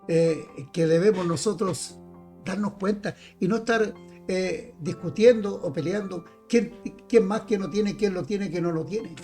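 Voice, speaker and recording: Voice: mid-range voice, dark tone. A preacher from Chile, teaching the Bible informally and emphatically, speaking straight to listeners and repeating phrases. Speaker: evangelical preacher.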